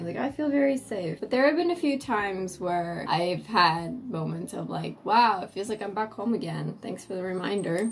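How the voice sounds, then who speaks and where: A young woman from the States with a raspy just-woke-up voice, chatting casually and swearing as she tells a story while doing her makeup. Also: medium pitch. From United States. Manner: chatty, swears, acts out quotes in character. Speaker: vlogger.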